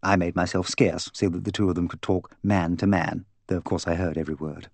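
A man's voice: sits low, fairly even intonation, brisk, creaky, darkish tone, no echo, voice pushed down. A man voicing an imperious, gossipy, opinionated English woman in an audiobook, bossy, emphatic and voluble. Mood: gossipy, confiding. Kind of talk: audiobook character dialogue.

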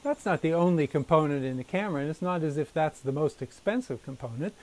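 A man's voice: on the high side, animated, fast, darkish tone. A middle-aged man whose first language is Dutch, hosting a casual chat and asking earnest questions with frequent restarts. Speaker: host. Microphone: lapel.

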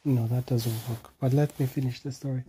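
A man's voice: medium pitch, steady tone, normal speed, mellow, slight background noise. A man telling an improvised children's bedtime story as a father, in simple repetitive phrases with questions for the child.

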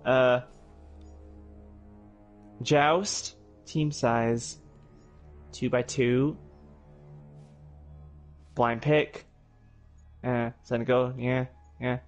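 A man's voice: medium pitch, steady tone, extremely slow, long pauses, soft background music. A young man with a Yorkshire accent, chatting while playing an online game, flustered and repeating himself. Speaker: gaming co-host. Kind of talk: casual co-op gameplay chat.

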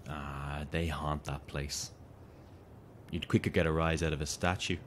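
An actor playing a smooth-talking, insistent male fan in an audio drama, flattering with hidden menace. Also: very deep voice, a little slow, a little hiss or hum. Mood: coaxing. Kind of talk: naturalistic audio drama acting.

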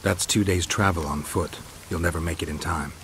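Very low, dry monster hunter. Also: male voice, steady tone, background noise. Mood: skeptical, dry. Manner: dry, clipped, unhurried. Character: terse, skeptical male monster-hunter swordsman. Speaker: video game character actor. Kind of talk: game dialogue acting.

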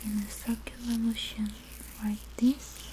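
A woman whispering softly and breathily close to the mic in a gentle, soothing ASMR spa roleplay. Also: fairly high pitch, flat delivery, rough recording. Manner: gentle, soothing, unhurried instructions. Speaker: ASMR artist playing a spa therapist. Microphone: close microphone. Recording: faint background noise, ambient sound.

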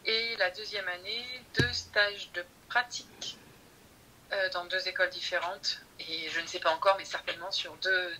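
A woman's voice: on the low side, fairly even intonation, normal speed, bright, forceful tone, a little hiss or hum, poor audio. A woman speaking as a podcast guest about her teacher training, thoughtful and hesitant, often restarting her sentences. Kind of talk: conversational interview.

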